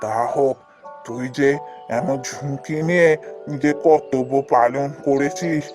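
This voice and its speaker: A man voicing an earnest, kindly village gentleman in a cartoon fairy tale, speaking familiarly like a patron to a junior. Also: medium pitch, measured pace, music in the background, close to an everyday voice. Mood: generous, earnest.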